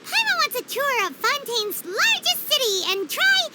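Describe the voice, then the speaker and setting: A woman voicing a tiny, chirpy and bossy fairy companion in a game cutscene dub, squeaky and excitable. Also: a little slow, very noisy, heavily acted. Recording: game score underneath.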